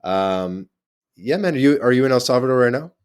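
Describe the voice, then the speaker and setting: A man's voice: mid-range voice, animated, a little fast, a little mellow, clear, resonant tone. A man hosting a podcast, chatting casually and loosely with a remote guest. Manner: chatty, loose, with fillers and restarts. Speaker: podcast host. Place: in Europe, remote.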